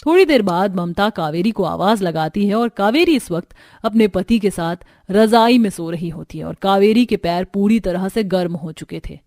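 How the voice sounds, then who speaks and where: A woman's voice: mid-range voice, a little mellow, no room sound. A woman narrating a scripted cartoon story as its storyteller. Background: background music.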